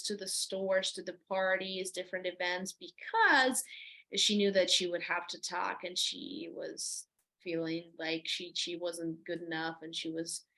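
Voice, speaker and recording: A woman's voice: on the low side, pushed and bright, rough recording. Earnest young Ukrainian-accented woman. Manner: earnest, explanatory, with frequent pauses and fillers. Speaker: interviewee, university student. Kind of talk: conversational interview.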